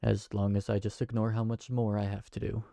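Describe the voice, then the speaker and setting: A man's voice: fairly low pitch, little pitch movement, fairly quick, gravelly, mellow, no room sound. A man voicing a kind, awkward tavern keeper who talks in a hesitant, friendly way. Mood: cheerful. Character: kind, awkward young male tavern keeper named Briar. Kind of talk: fantasy audio roleplay.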